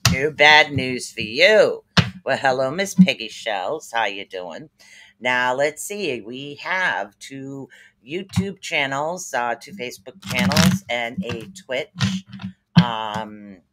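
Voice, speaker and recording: A man's voice: mid-range voice, noisy background. A man with an East Coast American accent, hosting a casual livestream where he chats and gives psychic readings in a rambling way, with many fillers. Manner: chatty, rambling, with frequent fillers and laughs. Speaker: psychic reader and livestream host. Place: at home.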